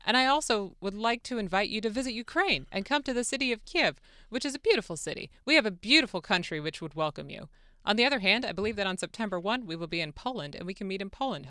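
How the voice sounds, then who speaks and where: A woman's voice: mid-range voice, bright, forceful tone, clean tone, no echo, clean studio sound. A woman voicing an eager, deferential foreign president in a formal, polite dramatic reading. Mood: gracious, eager. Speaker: radio show producer. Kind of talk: dramatic reading of a phone-call transcript. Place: radio studio. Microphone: close studio microphone.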